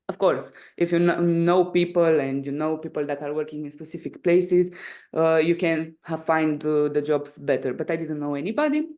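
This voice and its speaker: A woman's voice: low voice, darkish tone. A woman in her twenties from Romania with a Romanian accent, answering interview questions casually and hesitantly, searching for words in her non-native English. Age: 20s. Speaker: podcast guest.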